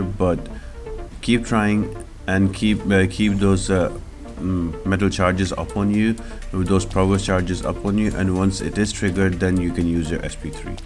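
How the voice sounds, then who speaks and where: Low-voiced man explaining game strategy. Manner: explanatory, instructional. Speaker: gaming video host. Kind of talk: game walkthrough commentary. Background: loud music behind the voice.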